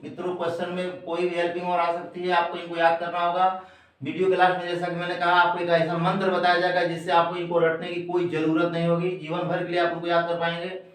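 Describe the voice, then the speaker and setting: A man with an Indian accent, a native Hindi speaker, teaching grammar like a classroom lesson in a deliberate, repetitive way, addressing learners as friends. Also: sits high, completely flat delivery. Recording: echoey room, distant microphone.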